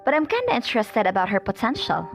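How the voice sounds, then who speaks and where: Friendly young female student, woman actor, medium pitch, chatty, radio drama with soft music. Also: wildly swooping pitch, fast talker, creaky. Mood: friendly, open. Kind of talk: amateur radio drama acting. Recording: faint music behind voice.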